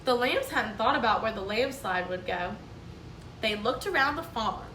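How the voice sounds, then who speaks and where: A woman, a kindergarten teacher, reading a storybook aloud to children in a clear, explaining, sing-song manner. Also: on the high side, lively intonation, normal speed, pushed and bright, slight background noise. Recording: echoey room, mic at a distance.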